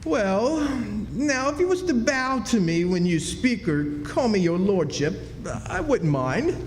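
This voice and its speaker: A grandly put-upon con man posing as a duke in a stage radio play. His high voice swings widely with self-pity and melodrama. It rings through an echoey hall. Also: sing-song, a little slow, slight background noise. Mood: smugly martyred. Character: self-pitying swindler posing as a wronged, haughty duke. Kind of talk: old-time radio drama acting, performed live. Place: stage in a reverberant historic club hall.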